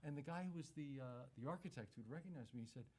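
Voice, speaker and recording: A man's voice: fairly high pitch, quick pace. A man who is a stage actor, telling an anecdote in a big theatrical voice. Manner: big theatrical voice, expressive storytelling. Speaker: voice actor recounting a story. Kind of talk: anecdote told in an interview.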